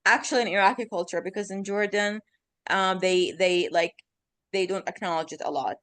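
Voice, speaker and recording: A woman's voice: medium pitch, moderate pace, a bit bright, clean tone, no room sound. Chatty Arabic-speaking woman, dry sound. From Iraq. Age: 30s. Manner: rambling, self-correcting, many fillers. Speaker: podcast guest. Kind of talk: casual chat.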